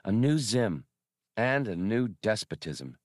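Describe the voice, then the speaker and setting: A man's voice: on the low side, sing-song, takes it slowly, no room sound, clean studio sound. A man voicing an earnest, inquiring young woman with a doubtful manner, in an adventure audiobook.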